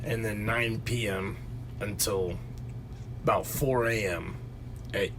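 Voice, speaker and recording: A man's voice: lowish voice, takes it slowly, background noise. A man co-hosting a podcast from his basement, telling a rambling story with lots of restarts and fillers. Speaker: podcast co-host. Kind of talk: casual chat. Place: home basement.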